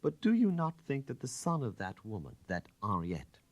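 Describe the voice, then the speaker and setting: A man's voice: on the low side, wildly swooping pitch, moderate pace, voice pushed up. A man voicing a composed aristocratic countess in an audiobook, gracious and polite but pointed. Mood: poised, pointed. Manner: polite, poised.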